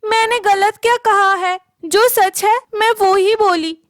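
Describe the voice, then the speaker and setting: Woman doing a very high, taunting cartoon girl. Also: fairly even intonation, takes it slowly, dry recording, clean studio sound. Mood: scornful. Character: mean, taunting village girls. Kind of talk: Hindi animated moral story acting.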